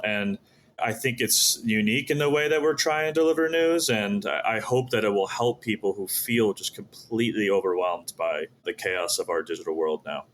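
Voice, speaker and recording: Male interview guest, fairly low voice, earnest explainer who talks through his ideas as a founder would. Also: a bit bright. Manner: earnest, explanatory, asks and answers his own questions. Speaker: podcast guest, startup founder.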